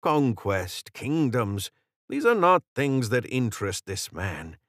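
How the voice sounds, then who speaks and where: A man voicing a composed older woman in authority, direct and measured, in an audiobook story. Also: mid-range voice, big pitch swings, fairly bright tone, no echo, studio quality. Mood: reasoning.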